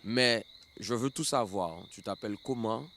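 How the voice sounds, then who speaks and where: A man's voice: fairly low pitch, normal speed, a bit bright, a little hiss or hum. Laid-back man hosting. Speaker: radio show host. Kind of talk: casual interview.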